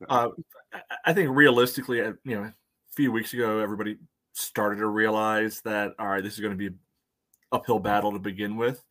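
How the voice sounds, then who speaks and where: A man's voice: lowish voice, fairly even intonation, brisk, fairly bright tone. A man co-hosting a sports fan podcast, chatting casually in a wry, frank, hesitant way. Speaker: co-host of a soccer fan podcast.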